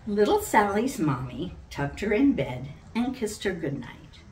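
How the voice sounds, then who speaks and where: An older woman, around her sixties, reading a children's book aloud as its author with deliberate, dramatic storytelling. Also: mid-range voice, sing-song, a little mellow, slight background noise. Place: living room at home, indoors. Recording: roomy, distant from the mic.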